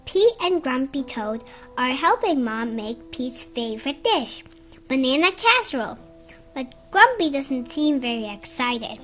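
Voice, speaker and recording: High-pitched bright storyteller, slow expressive picture-book reading, dramatic delivery for little kids, music behind. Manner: expressive, deliberate dramatic delivery for small children. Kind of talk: animated children's read-aloud.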